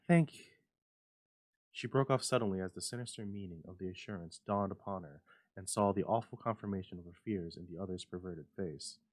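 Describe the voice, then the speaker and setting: A man's voice: deep voice, no echo. A man reading a literary story aloud as a dramatic narration. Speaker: story reader.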